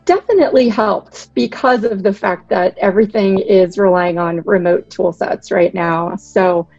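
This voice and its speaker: A woman from Boston in her thirties, a podcast guest giving articulate, measured explanations of her work. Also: medium pitch, gravelly, a little mellow, dry recording, dull audio, faint music behind. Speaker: guest, product director. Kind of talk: podcast interview.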